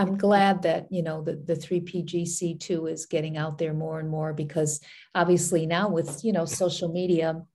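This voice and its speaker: A woman with a low, gravelly voice shares a long, rambling reflection on a group video call. Her tone is dark and mellow, and she drifts from thought to thought.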